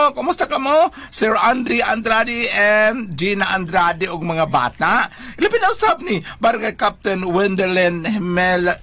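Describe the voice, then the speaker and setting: A man's voice: high-pitched, pushed and bright. A man with a Cebuano Filipino accent co-hosting a radio show, giving casual chat and sponsor greetings.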